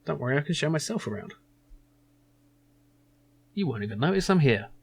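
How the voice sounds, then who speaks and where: A man's voice: on the high side, very animated, moderate pace, creaky, slightly rough, voice pushed up, heavily acted. A man doing a cool, witty adventure hero. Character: quick-witted young male fugitive posing as inspector.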